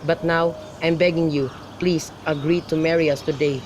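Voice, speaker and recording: A man's voice: fairly high pitch, steady tone, moderate pace, lightly acted. A man playing an earnest, impatient young lover in an amateur radio play, with a recited delivery. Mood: pleading. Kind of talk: student radio drama, recited modern Shakespeare. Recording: faint outdoor noise with birds.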